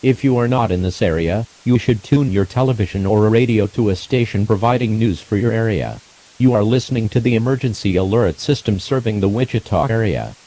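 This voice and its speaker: A man's voice: on the low side, brisk, dark tone, rough recording. A man reading a scripted emergency broadcast announcement in a formal, solemn manner.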